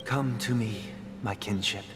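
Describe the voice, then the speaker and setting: An actor playing a devout, brooding young confessor who speaks in a formal, measured, pious manner. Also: male voice, fairly low pitch, close to an everyday voice. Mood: solemn, reflective. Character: solemn soft-spoken young priestly man, devout and brooding. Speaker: confessor and family leader. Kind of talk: formal, dramatic game voice acting. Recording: echoey hall, loud music behind.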